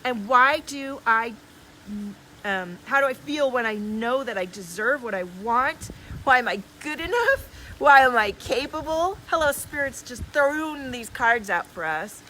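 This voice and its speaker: A woman's voice: high-pitched, expressive, measured pace, bright, forceful tone, poor audio. A woman speaking effusively and emphatically in casual new-age coaching style on a livestream, as a healer and host. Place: outdoors in the desert. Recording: clear background noise, outdoors.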